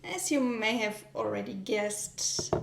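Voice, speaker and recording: A German woman with a German accent, vlogging in a gentle, hesitant way with frequent ums while baking in her home kitchen. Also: a little high, expressive, takes it slowly, vocal fry, echoey room. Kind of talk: soft-spoken vlog narration.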